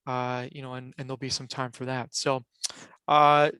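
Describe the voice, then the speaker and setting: A man hosting a panel over a video call, with a bright, crisp voice close to a dry mic. He rambles a little, circling through fillers and quick apologies as he steers the speakers. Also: medium pitch, flat delivery, dry recording. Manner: loose, filler-heavy, apologetic. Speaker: panel moderator.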